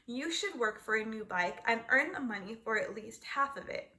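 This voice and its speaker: A woman voicing a patient, reasonable father in a children's storybook, speaking in a measured, gently bargaining way. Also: fairly high pitch, expressive. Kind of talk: children's storybook read-aloud.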